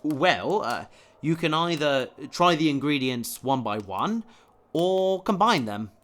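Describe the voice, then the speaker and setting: A man's voice: on the high side, lively intonation, slow pace, a bit bright, a little hiss or hum. A man playing an earnest, determined human spacefarer in a science fiction audio drama, sincere and slightly awkward. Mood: patient, gentle.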